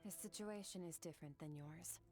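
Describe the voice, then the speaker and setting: A woman playing a cold, composed ancient warrior master in a video game, calm and detached. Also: fairly low pitch, brisk, faint music behind, natural voice. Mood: calm, measured. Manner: calm, detached, matter-of-fact. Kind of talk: scripted video game dialogue.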